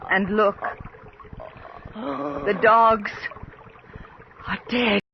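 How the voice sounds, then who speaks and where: A man's voice: high voice, drawn-out words, creaky, full character voice. A man playing a grave, protective attendant to a noble lady in a radio horror drama, speaking in a solemn, dramatic way. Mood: urgent, grave. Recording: muffled, faintly noisy old radio recording.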